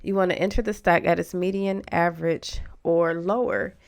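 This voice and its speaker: Crisp-voiced woman with a touch of fry. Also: fairly low pitch, fairly even intonation, normal speed. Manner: encouraging, reassuring advice-giving. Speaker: host of a stock investing channel for beginners. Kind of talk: informal explanatory video commentary.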